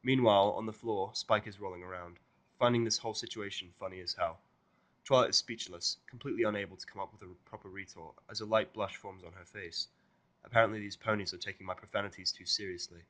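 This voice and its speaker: Male narrator, lowish pitch, scripted first-person storytelling, fan fiction audio drama. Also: fairly even intonation, fast, a bit bright.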